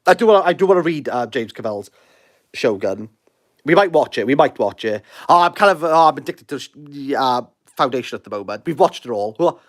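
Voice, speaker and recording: Lively Welsh man chatting on a livestream. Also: medium pitch. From Wales. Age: 30s. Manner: rambling, self-deprecating, quick to laugh. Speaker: livestream host. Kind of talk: casual livestream chat answering comments.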